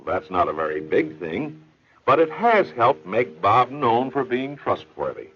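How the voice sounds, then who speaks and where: A man's voice: mid-range voice, very animated, measured pace. A man narrating an educational film in an earnest, explanatory storytelling manner. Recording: dull old film soundtrack with faint hiss.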